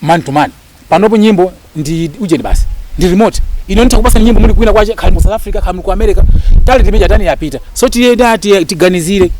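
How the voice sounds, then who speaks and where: Malawian man, interview guest. High pitch, racing delivery, insistent and repetitive for emphasis, slight background noise. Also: racing, darkish tone, poor audio. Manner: emphatic, argumentative, repeats phrases for stress. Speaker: interview guest, actor and lecturer. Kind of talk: impassioned interview talk mixing two languages.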